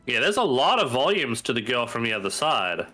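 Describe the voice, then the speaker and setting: A man's voice: medium pitch, very animated, brisk, sharp tone, faint music behind. Stuffy-nosed man chatting on a stream. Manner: chatty, thinks aloud while browsing. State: stuffy from hay fever. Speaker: stream co-host. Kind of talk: casual live-stream chat.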